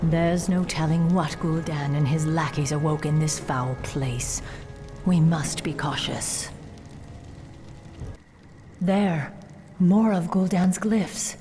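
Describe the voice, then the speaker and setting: Stern, low-voiced elf warden. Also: female voice, slow, long pauses, dark tone, faint music behind. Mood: grave, watchful. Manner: grave, cautious, formal. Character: stern, resolute female night elf warden. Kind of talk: dramatic video game voice acting.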